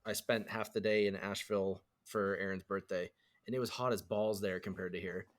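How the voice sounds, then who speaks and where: A young man co-hosting a casual podcast, easygoing and quick to laugh. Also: deep voice, fairly even intonation. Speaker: podcast co-host. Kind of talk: casual chat.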